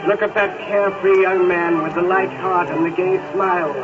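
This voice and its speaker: An actor playing a solemn male ghost who leads an old miser through his past, in a 1930s radio drama, speaking slowly and with command. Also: high voice, steady tone, over music, natural voice. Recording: tinny, hissy 1930s radio recording.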